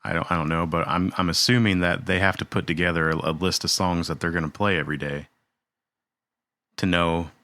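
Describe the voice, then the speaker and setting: A man with a very low voice that still sounds bright and edgy, chatting easygoingly on a podcast. The sound is close and dry, and he drops in jokey asides. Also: fairly even intonation, fairly quick, no room sound. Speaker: podcast co-host. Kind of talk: casual chat.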